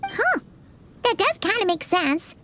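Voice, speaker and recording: Game fairy companion: squeaky, very high, lively. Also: female voice, unhurried, a little hiss or hum, exaggerated voice. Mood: curious. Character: small floating fairy companion girl, chirpy. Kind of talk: video game voice acting.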